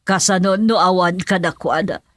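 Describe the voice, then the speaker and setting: A woman's voice: on the low side, moderate pace, a bit bright, no echo, clean studio sound, close to an everyday voice. An actress playing a queen and mother in a comic Ilocano radio drama, exclamatory and questioning, with an Ilocano accent. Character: queen, king's wife and mother of a princess.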